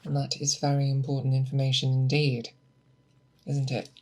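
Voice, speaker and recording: A performer in an ASMR roleplay playing a patient, methodical monster hunter who mentors an apprentice, speaking deliberately and coaxing with questions. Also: mid-range voice, monotone, darkish tone, a little hiss or hum, natural voice. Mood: calm, focused. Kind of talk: ASMR roleplay, scripted character monologue.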